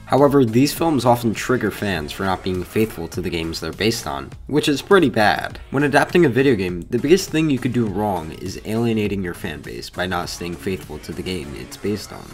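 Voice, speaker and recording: A man's voice: lowish voice, expressive, moderate pace, hardly any pauses, faint music behind. A man hosting a video essay, reading scripted commentary in an informal, opinionated way.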